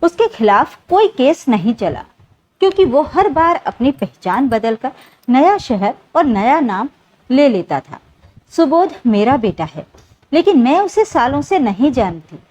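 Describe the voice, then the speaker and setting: A wronged older mother played by a woman narrator confesses in a story with sorrow and remorse. The voice is high and moves expressively up and down. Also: very animated, a little slow, a little mellow, full character voice. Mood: pained.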